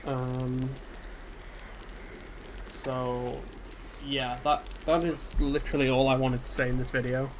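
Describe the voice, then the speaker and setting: A man giving an unscripted, subdued and hesitant rant about a game, sounding dejected and pausing often on ums. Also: mid-range voice, low-quality recording. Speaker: gaming video creator. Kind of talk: unscripted solo rant to camera. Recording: steady background ambience hiss.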